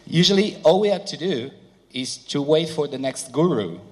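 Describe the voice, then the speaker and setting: A man whose first language is not English, giving a seminar talk through an interpreter, with many pauses and fillers. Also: a little high, expressive, darkish tone. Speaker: seminar speaker. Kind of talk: conference presentation with interpreter. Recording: hall reverb.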